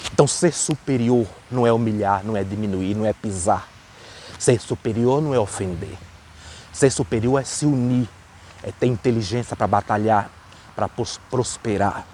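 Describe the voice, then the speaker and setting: A man's voice: mid-range voice, darkish tone, a little hiss or hum. A man from Brazil with a Brazilian Portuguese accent, giving an earnest, emphatic opinion monologue to camera. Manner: earnest, repetitive, emphatic preaching. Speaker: vlogger.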